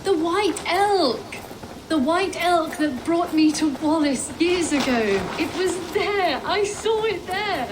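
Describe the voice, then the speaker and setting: An actress playing a young huntress in a video game, earnest, intent and reverent about omens. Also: female voice, extremely high pitch, expressive, takes it slowly, background noise, heavily acted. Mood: awed.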